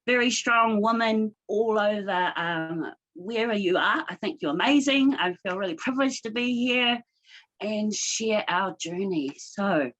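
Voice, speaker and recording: A woman from New Zealand, a guest business owner, talking in an expressive, casual way from her rural home off the grid. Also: mid-range voice, unhurried.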